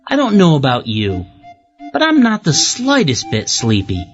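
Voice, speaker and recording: A humble, hard-working cobbler from a children's storybook, as a narrator puts him on. He speaks gently and folksily with lively intonation, over clear music in a slightly dull recording. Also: medium pitch, normal speed. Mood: cheery. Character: weary, kindly, hopeful hard-working old-fashioned male cobbler. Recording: muffled lo-fi audio with music behind.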